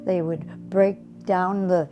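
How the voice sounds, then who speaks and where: A woman's voice: on the low side, steady tone, slow, over music. A woman in her late eighties reminiscing in conversation, plain-spoken and emphatic. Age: late 80s. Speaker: great-aunt sharing family testimony.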